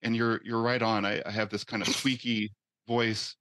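A man's voice: lowish voice, little pitch movement, moderate pace, fairly bright tone, dry recording. A man, a guest therapist, speaking earnestly in a practice role-play with frequent repeated words.

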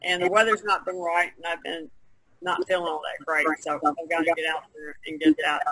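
A woman's voice: lowish voice, a little fast, a bit bright, no echo, rough recording. A woman taking part in a casual group chat over an online video call. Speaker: podcast participant.

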